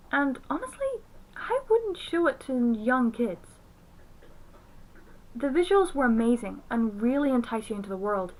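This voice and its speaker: Higher-pitched young woman narrating a review. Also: low-quality recording. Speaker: film reviewer.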